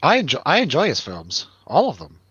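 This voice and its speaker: A man's voice: fairly high pitch, wildly swooping pitch, a little fast, fairly bright tone, breathy edge, dry recording. A man hosting a casual film review chat, talking in a loose, hesitant, easygoing way over a slightly lo-fi recording. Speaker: host of a film review show.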